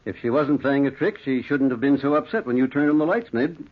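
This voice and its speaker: An actor playing a calm, skeptical doctor, measured and reassuring, in old-time radio drama style. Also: male voice, mid-range voice, close to an everyday voice. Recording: thin, narrow-band vintage radio recording.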